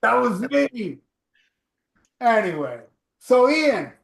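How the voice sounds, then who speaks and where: High-voiced sixty-year-old man, roomy sound. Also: very animated, slow, creaky. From South Florida. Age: 60s. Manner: joking, teasing banter. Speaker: podcast co-host. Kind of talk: casual chat.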